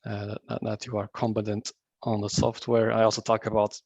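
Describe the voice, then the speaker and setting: A man's voice: lowish voice, monotone, a little fast, vocal fry, a little mellow, husky, dry recording, muffled recording. A man from Portugal, with Portuguese as his first language, giving a friendly, conversational online meetup presentation over a video call. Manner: friendly, conversational, some restarts.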